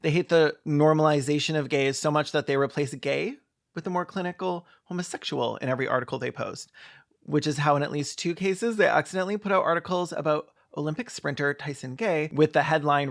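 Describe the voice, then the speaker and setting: A host giving comedic reaction commentary on a film in a campy, sarcastic way. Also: medium pitch.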